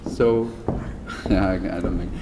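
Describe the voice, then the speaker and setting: A man, a jazz bassist answering interview questions thoughtfully, with lots of hesitations and restarts. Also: fairly even intonation, slow pace, noisy background. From Denmark. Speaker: jazz double bass player, interviewee. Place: concert venue. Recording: music playing underneath. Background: music playing.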